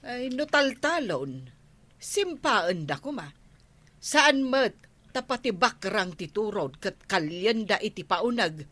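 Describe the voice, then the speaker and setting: An actress playing an inquisitive, fretting village official's wife with a gossipy, questioning manner in a radio comedy drama. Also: female voice, mid-range voice, very animated, slow pace, a bit bright, heavily acted. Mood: concerned, nosy.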